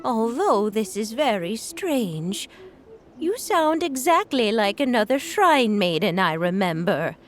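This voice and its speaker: A woman voicing a gentle, polite elderly grandmother in a video game, wistful and hesitant as she reminisces. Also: a little high, big pitch swings, slow. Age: older. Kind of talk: video game character acting.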